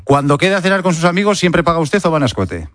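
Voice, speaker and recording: Fast, animated Spanish male interviewer. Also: fairly high pitch, no room sound, clean studio sound. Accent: Spanish, from Spain. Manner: informal, playful questioning. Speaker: radio interviewer. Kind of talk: quick-fire quiz interview.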